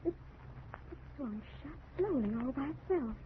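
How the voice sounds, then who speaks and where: A woman's voice: sits high, lively intonation, measured pace, phone-quality sound. An actress playing a concerned, reproachful wife who stands her ground in an old-time radio drama, earnest and dramatic, on a thin, noisy vintage recording. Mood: frightened.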